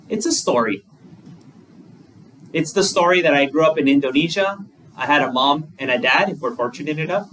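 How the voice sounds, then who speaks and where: A man's voice: on the high side, a bit bright. A male Buddhist monk giving a dharma talk, conversational, using plain examples and rhetorical questions. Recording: distant mic with faint room noise.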